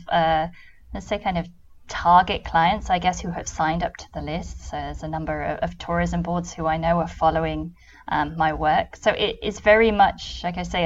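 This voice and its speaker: A British woman from the UK, a travel consultant answering interview questions thoughtfully with many pauses and restarts. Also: on the low side, highs cut off.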